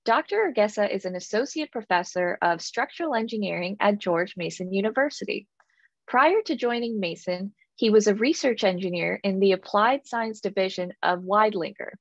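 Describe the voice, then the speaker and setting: A woman's voice: medium pitch, dry recording. A woman moderating a webinar, reading panelist introductions in a polished, formal manner. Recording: dull, dry webinar audio.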